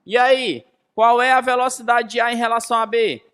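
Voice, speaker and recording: A man's voice: extremely high pitch, fairly even intonation, normal speed, fairly bright tone, clean tone. A man in his thirties with a Brazilian accent teaching a lesson, emphatic and didactic, asking rhetorical questions and repeating key phrases. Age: 30s. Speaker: physics teacher.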